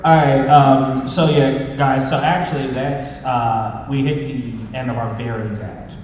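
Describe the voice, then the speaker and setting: A man hosts a casual convention panel in a very echoey hall. His voice sits at a middle pitch and sounds some way from the microphone, with an informal, chatty delivery. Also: steady tone, measured pace, a little mellow, a little hiss or hum. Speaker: panel host. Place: large convention panel room. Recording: echoey hall, distant from the mic.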